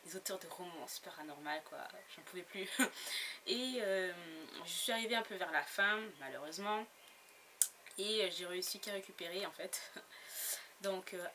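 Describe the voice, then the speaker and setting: A woman's voice: fairly low pitch, sharp tone. A woman chatting casually about her latest book haul, giggly and full of fillers and restarts. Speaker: book blogger. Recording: noisy home recording.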